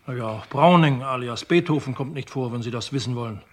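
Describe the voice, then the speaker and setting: An actor playing an eager, talkative police sergeant in a crime radio play, keen and slightly cheeky. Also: male voice, mid-range voice, a little fast, close to an everyday voice. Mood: deflated.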